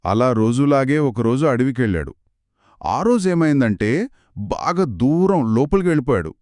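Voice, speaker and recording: A man's voice: medium pitch, big pitch swings, moderate pace, a little mellow, dry recording, clean studio sound. A man co-narrating a moral folktale, asking curious questions to move the story along. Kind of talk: scripted two-voice folktale narration.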